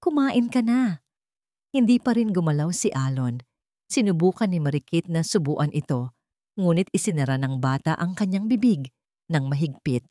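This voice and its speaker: A woman's voice: sits low, animated, normal speed, mellow, dry recording, studio quality. A woman with a Filipino accent narrating a dramatic scripted story.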